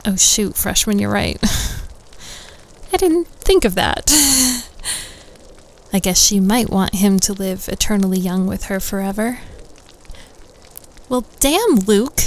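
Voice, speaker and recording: An actress playing a playful young prep school student at a campfire, speaking casually and without hurry in an audio roleplay. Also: female voice, mid-range voice. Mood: teasing. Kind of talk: audio roleplay acting, casual teasing chat.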